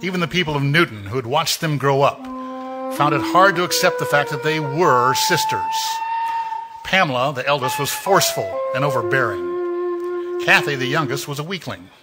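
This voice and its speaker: An actor narrating as a mysterious man who walks by night, in slow, ominous, measured 1940s radio drama style. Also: on the high side, sing-song. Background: music.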